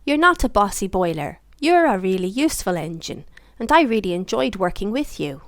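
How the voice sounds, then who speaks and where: A woman's voice: mid-range voice, sing-song, brisk, no echo, studio quality. A woman voicing a cocky, impatient young boy tank engine in a storybook, huffy and emphatic. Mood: grateful. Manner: defiant, huffing, emphatic.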